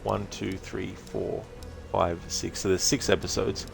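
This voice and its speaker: A man's voice: lowish voice, steady tone, unhurried, vocal fry, over music. A man chatting casually to viewers as a game streamer on a livestream.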